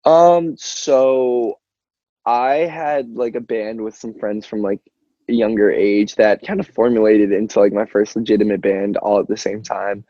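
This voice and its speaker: Young American male band singer, low voice, rambling casual interviewee in a roomy recording. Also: fairly even intonation, unhurried, mellow. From Albany, New York, United States. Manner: rambling, peppered with "like" and "you know", laughs easily. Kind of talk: casual chat. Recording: muffled, roomy call-like audio.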